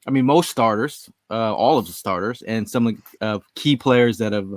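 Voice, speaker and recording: A man's voice: lowish voice, normal speed, dry recording. A man co-hosting a sports podcast, talking in a laid-back, rambling way. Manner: laid-back, rambling, says "you know" often. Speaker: podcast co-host. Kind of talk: casual sports chat.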